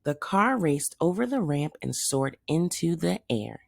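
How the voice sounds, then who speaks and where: A woman narrates a children's story like a teacher reading aloud. Her low voice moves slowly and expressively, with wide melodic swings, in a dry, close sound. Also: sing-song, gravelly, no room sound.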